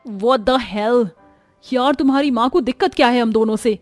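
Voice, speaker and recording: A woman's voice: a little high, moderate pace, a little mellow, dry recording, soft background music, close to an everyday voice. A woman voicing a haughty young modern city daughter-in-law in a Hindi cartoon, scornful and dropping English words. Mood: irritated. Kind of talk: Hindi cartoon story dialogue.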